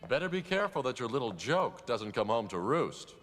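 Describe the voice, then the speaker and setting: An actor playing a reserved wealthy man who speaks in measured, dry warnings. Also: mid-range voice, big pitch swings, fairly bright tone, faint music behind. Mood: cautioning. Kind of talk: animated series voice acting.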